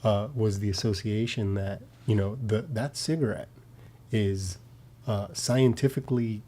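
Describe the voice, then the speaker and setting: Mellow low-voiced man, laid-back. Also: steady tone, measured pace. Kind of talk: casual podcast conversation. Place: studio.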